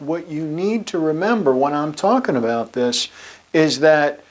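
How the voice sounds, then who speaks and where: A man, a chiropractor, giving an explanatory talk with slides, measured and slowing on key terms. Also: fairly high pitch.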